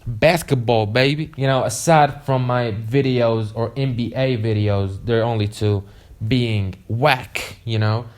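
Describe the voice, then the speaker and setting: Young man, first language Arabic, casual storytime, rambling, profane, mid-pitched voice. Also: fairly even intonation, measured pace, a little mellow. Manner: rambling, profane, many "you know" fillers. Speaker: vlogger.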